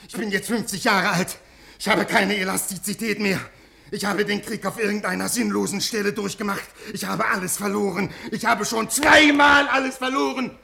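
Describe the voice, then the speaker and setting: An actor playing a desperate, worn-out scholar of fifty in a 1950s radio play, pleading earnestly in educated speech with a creaky, husky voice. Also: male voice, high-pitched, steady tone, normal speed, sharp tone, full character voice. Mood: bitter, agitated. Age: 50s.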